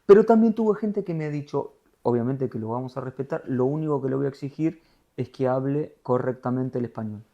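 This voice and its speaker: Argentine guy, middle-aged news anchor, chatty and animated, medium voice. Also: mid-range voice, brisk, dull audio. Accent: Argentine Spanish. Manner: animated storyteller, voseo, acts out quotes. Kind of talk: conversational interview.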